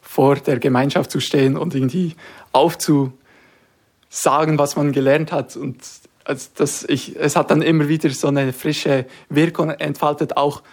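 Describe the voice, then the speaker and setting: A man's voice: on the high side, a little mellow. A young man from Switzerland, a student guest answering thoughtfully in a radio interview, with some fillers. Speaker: guest, discipleship school student.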